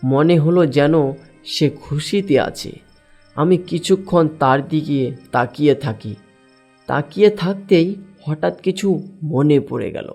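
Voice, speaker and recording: High-voiced man narrating a suspenseful horror tale. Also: a little slow, vocal fry, darkish tone, faint music behind. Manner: dramatic, suspenseful. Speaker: story narrator. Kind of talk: scripted first-person horror story narration.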